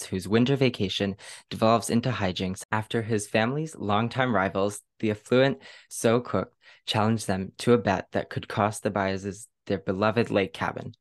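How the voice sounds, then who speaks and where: A woman hosting a casual interview podcast, speaking with gushing, excited enthusiasm. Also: rumbling low. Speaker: podcast host.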